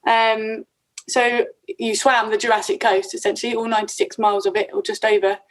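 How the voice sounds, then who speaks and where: A woman's voice: fairly high pitch, level tone, fairly quick, low-quality recording. A woman interviewing guests in a casual, conversational way, with informal and somewhat hesitant phrasing. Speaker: podcast host, interviewer.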